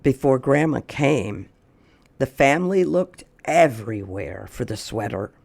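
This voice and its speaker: An animated storyteller reads a children's picture book aloud at a slow pace. The delivery swings widely in pitch, with drawn-out emphasis and some creak in the voice.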